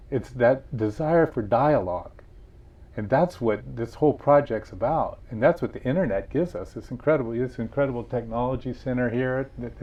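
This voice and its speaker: A man's voice: medium pitch, muffled recording. A man being interviewed about his book, telling stories earnestly with frequent restarts. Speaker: author and guest. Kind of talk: interview conversation.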